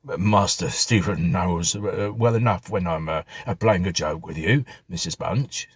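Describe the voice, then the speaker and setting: A man's voice: low voice, unhurried, slightly rough, no echo, studio quality, full character voice. A man voicing a flustered, superstitious ageing butler in a storybook reading, rambling in colloquial servant speech. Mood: awkward.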